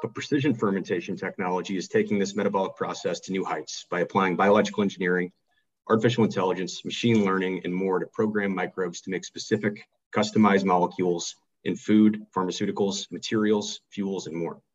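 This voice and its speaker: A man's voice: low voice, steady tone, a little fast, muffled recording. A man hosting a webinar, reading a short scripted intro and then moderating with fillers and restarts. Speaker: webinar host.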